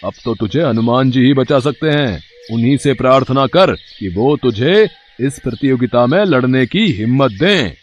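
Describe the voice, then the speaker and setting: Casting: male actor as a bullying wrestling tough, mid-pitched, dismissive, faint noise behind. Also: lively intonation, normal speed, lo-fi sound, lightly acted. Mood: mocking, dismissive. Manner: taunting, dismissive. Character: scornful male wrestling gym boss and bully. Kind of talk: cartoon moral story.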